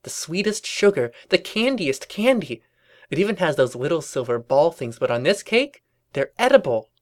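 A performer voicing a bubbly, excitable young female cartoon pony with gushing, exaggerated chatter in a story reading. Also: medium pitch, moderate pace, voice pushed up, lightly acted. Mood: gleeful, teasing.

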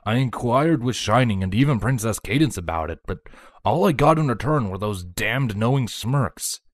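A man voicing a devoted pony soldier husband in an audio story, earnest and expressive. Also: mid-range voice, normal speed, no echo, studio quality, natural voice. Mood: questioning, frustrated. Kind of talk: audio story character reading.

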